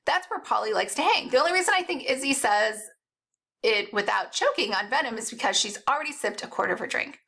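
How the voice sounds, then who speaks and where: A woman reading first-person novel narration aloud in an expressive, animated storytelling way. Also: fairly high pitch, fast talker. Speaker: audiobook-style reader.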